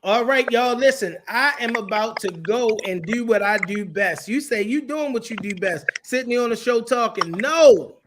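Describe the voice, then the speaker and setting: Hyped high-voiced man. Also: animated, normal speed, few pauses, background noise. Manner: hyped, slangy and effusive. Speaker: show host. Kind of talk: casual live-stream chat.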